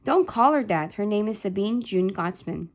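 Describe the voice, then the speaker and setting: A woman's voice: mid-range voice, expressive, moderate pace, close to an everyday voice. A woman voicing a defiant young adult daughter who speaks firmly while holding back tears, in a read-aloud novel.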